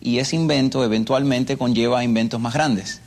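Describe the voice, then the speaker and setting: A man's voice: mid-range voice, fairly even intonation, moderate pace, a little mellow. A young Cuban man with a Cuban accent, telling his life story earnestly as a guest. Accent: Cuban Spanish. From Cuba. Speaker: interview guest. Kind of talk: personal testimony.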